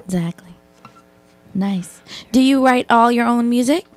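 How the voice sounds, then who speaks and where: A woman's voice: fairly high pitch, unhurried, soft background music. A woman hosting a radio interview, upbeat and giggly. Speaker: radio show host.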